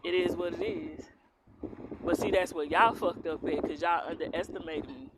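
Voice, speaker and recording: A woman's voice: lowish voice, fairly even intonation, moderate pace. A young woman from Philadelphia, raised in the US South, with a strong Southern accent. She reads tarot and rants bluntly to camera with plenty of swearing. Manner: blunt, heavy cursing, defiant. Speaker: tarot reader. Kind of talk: casual to-camera rant. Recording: clear background noise.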